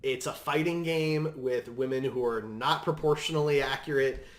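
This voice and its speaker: A man's voice: medium pitch, moderate pace. A man hosting a podcast, chatting casually about movies with friends. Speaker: podcast host. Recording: roomy, echoey sound.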